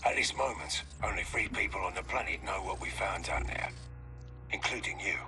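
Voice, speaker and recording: An actor playing a ruthless, scheming research boss, clipped and theatrical in a dramatic video game cutscene. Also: male voice, low-pitched, moderate pace, noisy background, natural voice. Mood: cold menace.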